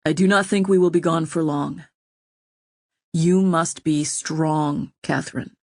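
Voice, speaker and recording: A woman reading an audiobook, voicing a troubled, gentle nobleman who speaks softly and ruefully. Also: sits low, steady tone, no room sound, studio quality. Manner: soft, measured, rueful.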